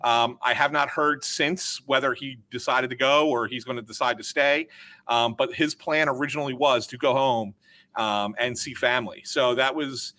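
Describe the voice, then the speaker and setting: Bright-voiced man explaining hockey news. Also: medium pitch, fairly even intonation. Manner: measured, cautious explaining with fillers. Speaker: hockey reporter. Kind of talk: casual sports talk.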